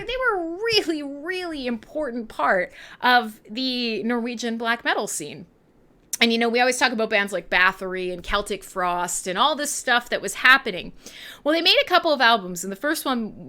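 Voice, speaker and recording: A woman's voice: a little high, sharp tone. A woman hosting a music show in an irreverent, sweary monologue with sassy, dramatic emphasis. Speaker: radio show host.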